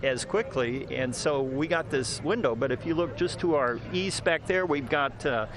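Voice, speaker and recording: A man's voice: a little high, normal speed. A man reporting the weather live on location outdoors, chatting loosely with many fillers. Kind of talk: live on-location news chat. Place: outdoors downtown by a river. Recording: outdoor street with a loud crowd behind.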